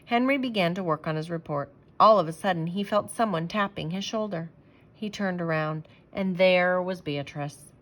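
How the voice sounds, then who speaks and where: A woman reading a children's storybook aloud as an expressive storyteller, with emphatic phrasing. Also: lowish voice, moderate pace.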